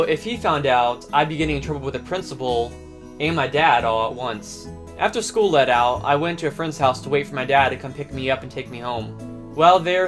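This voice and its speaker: A man's voice: mid-range voice, fairly bright tone. A man narrating a scripted personal story as a host. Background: plucked guitar music.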